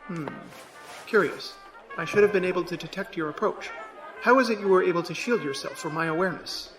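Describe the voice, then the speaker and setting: A man's voice: sits high, fairly quick, over music, rough recording. A man acting in an audio drama as a literal-minded artificial servant, formal, precise and deferential. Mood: formal, curious.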